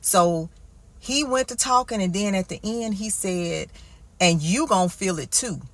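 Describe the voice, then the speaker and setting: An American woman giving a casual, animated recap in slangy talk, acting out quotes colloquially as she goes. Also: medium pitch, measured pace, no room sound.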